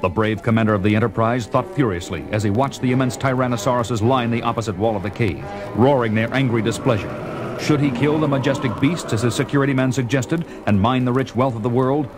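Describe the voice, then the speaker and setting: A man of medium pitch narrates in dramatic, scripted fashion. The style is that of a 1970s audio drama, with loud music running under his voice. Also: a little fast.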